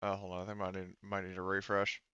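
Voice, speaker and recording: A man's voice: low voice, completely flat delivery, fast talker, sharp tone, clean tone, dry recording, highs cut off. A man casually running a tabletop roleplaying game as game master, thinking aloud as he narrates.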